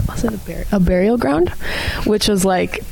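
A woman's voice: mid-range voice, animated, normal speed, vocal fry, darkish tone, poor audio. A young adult woman, a college athlete, talking casually and informally in a radio interview. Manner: informal, youthful phrasing. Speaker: college softball player.

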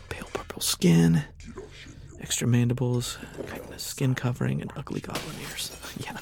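A man's voice: mid-range voice, unhurried, creaky, whispered. A man playing a wry, scrappy space lieutenant in a science fiction audio drama, with a sardonic, self-muttering delivery. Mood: wry, uneasy. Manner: sardonic muttering to himself, sighing, breaking into shouts. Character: wry, scrappy male space lieutenant alone on a hostile ship. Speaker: narrating protagonist.

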